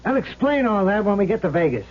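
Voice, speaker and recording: Cocky tough-guy gambler's ghost, highish voice. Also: big pitch swings, quick pace. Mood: menacing. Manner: tough-guy underworld slang, boastful. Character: slangy, vengeful ghost of a dead gambler, cocky. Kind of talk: 1970s radio drama acting. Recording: dull, noisy old radio recording with hum.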